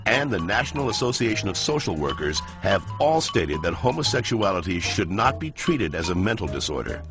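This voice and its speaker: A man playing a genial, wry science narrator in an educational cartoon, explaining in measured phrases. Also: on the low side. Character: genial male science narrator moderating a debate. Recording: music behind the voice.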